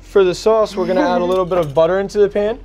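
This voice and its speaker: Fast-talking chef with many fillers. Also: male voice, high voice, fairly even intonation, a little mellow, a little hiss or hum. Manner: halting, many fillers, explains step by step. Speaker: guest chef. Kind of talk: cooking demonstration. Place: TV studio kitchen set.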